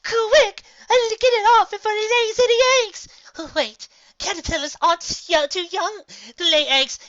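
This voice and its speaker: Shrill, panicky little pony mare. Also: female voice, moderate pace, a bit bright, no echo, dull audio. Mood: frantic. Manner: shrill, wailing. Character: melodramatic, panicky young female earth pony.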